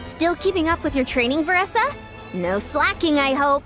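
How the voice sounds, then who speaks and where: Young female fitness coach, high lively voice, confident, encouraging, video game dialogue, faint music. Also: moderate pace. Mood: instructive. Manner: confident, instructive.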